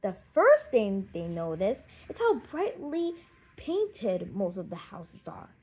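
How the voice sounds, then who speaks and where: A woman narrating a children's audiobook in an expressive storytelling manner. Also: medium pitch, slow pace, husky, poor audio.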